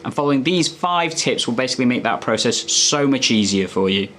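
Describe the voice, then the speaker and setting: A man's voice: medium pitch, expressive, a little fast, a bit bright, slight background noise. A man presenting health tips as a doctor, explaining in a conversational, instructive way to viewers.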